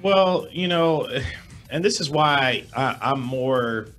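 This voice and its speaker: A middle-aged man with a hoarse voice, a sports reporter giving measured analysis as a guest over a video call. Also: mid-range voice, animated, a bit bright, faint music behind, rough recording. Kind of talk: remote sports talk interview. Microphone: webcam with desk microphone, video call.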